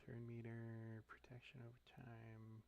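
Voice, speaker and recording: A man streaming a mobile game, thinking aloud in a relaxed, muttering way as he clicks through menus. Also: mid-range voice, a little slow, creaky, a little hiss or hum. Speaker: gaming streamer. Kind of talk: casual live-stream commentary while playing.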